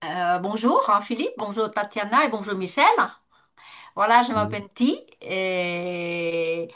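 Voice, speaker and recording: A woman's voice: medium pitch, animated, a little slow, fairly bright tone. A retired woman from northern Vietnam, speaking French with a Vietnamese accent, who talks as a guest in an online debate, with many fillers and restarts. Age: older.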